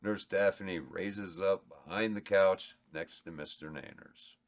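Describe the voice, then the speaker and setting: Deep-voiced man reading stage directions. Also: takes it slowly. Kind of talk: reading a script aloud.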